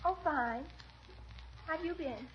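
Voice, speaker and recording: A woman's voice: high voice, slow pace, slight background noise. An actress playing a coy, somewhat aloof young woman making polite small talk in a 1940s radio comedy. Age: young adult. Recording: dull 1940s radio broadcast.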